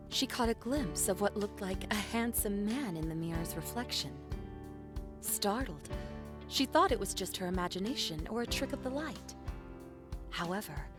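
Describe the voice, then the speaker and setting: A woman playing a sweet, sincere young dream girl in an audio drama, speaking gently. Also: medium pitch, close to an everyday voice. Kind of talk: indie audio drama acting. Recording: music under the voice. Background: music.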